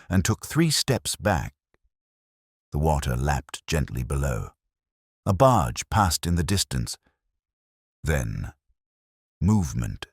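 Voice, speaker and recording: A man narrates a detective story with theatrical care. His voice is extremely deep and moves expressively through the scripted prose, with a dry sound free of room reverb. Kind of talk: scripted dramatic audiobook narration.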